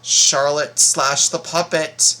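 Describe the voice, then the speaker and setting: A man giving casual reaction commentary, explaining things in a halting, repetitive way. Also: fairly high pitch, flat delivery, bright, forceful tone. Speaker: reaction video host.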